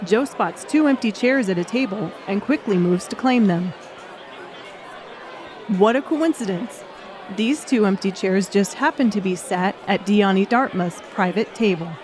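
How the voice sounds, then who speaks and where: A woman reading scripted narration of a comic novel as an audiobook narrator. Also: mid-range voice. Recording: music and crowd noise behind. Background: music and bar crowd sounds.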